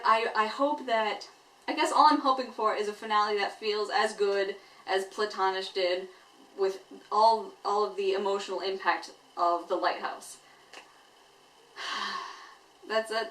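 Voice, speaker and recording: Female vlog reviewer, medium pitch, casual and unscripted, hesitant thinking-aloud delivery, strong room echo. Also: bright, forceful tone. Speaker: TV episode reviewer. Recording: echoey room, distant microphone.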